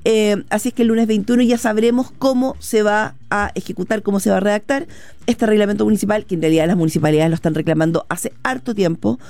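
Gravelly Chilean woman co-hosting the news. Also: mid-range voice, fast talker, a little mellow, dry recording. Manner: explanatory, with many fillers. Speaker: news co-host. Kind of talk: radio news chat.

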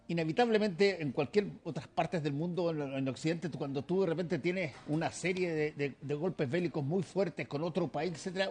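Husky man asking rambling questions. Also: on the high side, a little mellow. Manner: rambling, halting questions with many restarts. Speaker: TV interviewer. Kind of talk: TV interview questions.

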